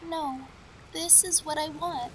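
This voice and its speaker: A woman playing a quiet, defiant teenage girl in an audio drama, speaking tersely. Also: high voice, steady tone, slow pace, noisy background. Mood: determined. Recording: music behind the voice.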